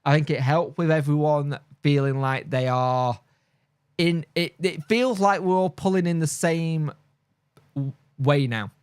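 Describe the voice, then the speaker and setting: A man's voice: a little high, measured pace, smooth, no echo, studio quality. A man with a mild speech impediment, tired, hosting a casual live stream and chatting bluntly through viewer comments with lots of fillers and restarts. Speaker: football fan channel host.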